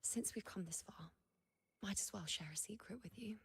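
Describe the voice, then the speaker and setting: An actress playing a proud, burdened young woman seer in a video game, speaking formal scripted lines in a grave, measured way. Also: medium pitch, gravelly, a bit bright, slightly rough, in a whisper, big-room sound, heavily acted. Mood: quiet, confiding.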